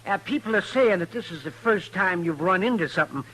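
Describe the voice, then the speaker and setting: An actor playing a fussy, needling old town doctor in a 1950s radio western, with a hesitant delivery. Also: male voice, high-pitched, expressive, fairly quick, fairly bright tone, low-quality recording. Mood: needling, amused.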